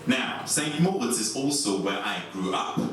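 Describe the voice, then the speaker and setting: A young Swiss man with a German accent, doing a stand-up set in a comedy club with a dry, deadpan delivery. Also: measured pace, recorded from a distance. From Switzerland. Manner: dry, deadpan delivery with lively swings in pitch. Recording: echoey club recorded from afar, audience noise.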